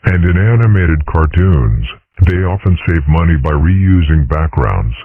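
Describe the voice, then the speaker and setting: A man voicing a sage, genial father figure in a comic strip dub, measured and gently dry as he lectures. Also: very deep voice, animated, slightly rough, full character voice. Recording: muffled, phone-like narrow audio.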